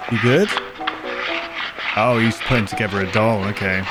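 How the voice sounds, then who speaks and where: A man's voice: on the low side, fairly even intonation, moderate pace. A man giving casual reaction commentary as a video host, thinking aloud informally and often posing questions. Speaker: YouTube host reacting to viewer-recommended horror videos. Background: prominent music behind the voice.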